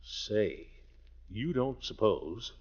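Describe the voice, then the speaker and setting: A man's voice: fairly low pitch, animated, very slow, darkish tone, husky, exaggerated voice. Blustering, swooping radio comedy man. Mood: confiding, solemn. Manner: theatrical, hearty, boastful, swooping delivery with chuckles. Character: jovial, blustering, self-important middle-aged man fond of gossip. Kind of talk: 1940s radio comedy acting. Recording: muffled old radio broadcast.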